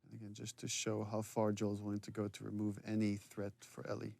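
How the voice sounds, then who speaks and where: Brisk, gravelly man giving director's commentary. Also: lowish voice, completely flat delivery, a little mellow, a little hiss or hum. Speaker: game director. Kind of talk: director's commentary, reflective conversation. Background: game scene audio playing.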